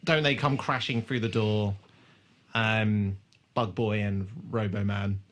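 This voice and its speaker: A man's voice: lowish voice, steady tone, slow pace, a bit bright. A man co-hosting a podcast, casually recapping a TV show with an irreverent, sweary manner. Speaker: podcast co-host.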